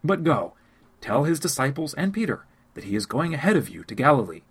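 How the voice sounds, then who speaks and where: A man reading as a calm young messenger. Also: fairly high pitch, brisk, slightly rough. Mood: reassuring. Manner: firm, reassuring announcement.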